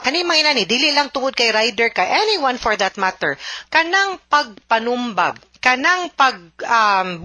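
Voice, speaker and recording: A woman's voice: fairly high pitch, sharp tone. A woman, giving legal advice on a radio segment in an explanatory way and often checking understanding with a tagged question. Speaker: legal advisor answering listener letters.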